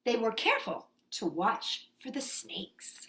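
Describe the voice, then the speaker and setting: A woman's voice: a little high, wildly swooping pitch, a little slow, a bit bright. A woman hosting story time for young children, reading and talking expressively and putting simple questions to her listeners. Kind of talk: story time for young children with read-aloud and sing-along songs.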